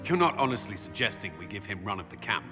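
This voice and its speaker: A man's voice: fairly low pitch, very animated, fast, in a large hall, over music. A man playing a blunt, commanding military officer in a video game. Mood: incredulous. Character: gruff, forceful male military commander. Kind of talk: video game cutscene acting.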